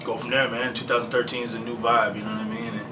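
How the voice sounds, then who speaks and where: A man's voice: medium pitch, little pitch movement, fast. A man, a rapper talking in a casual interview in a laid-back, slangy and profane way. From Canada. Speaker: rapper guest. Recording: roomy, distant mic with loud background hum.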